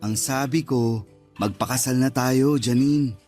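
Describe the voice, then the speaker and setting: A man's voice: mid-range voice, measured pace, darkish tone, soft background music, close to an everyday voice. A man acting as a devoted, earnest boyfriend in a Tagalog radio drama. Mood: earnest, pleading.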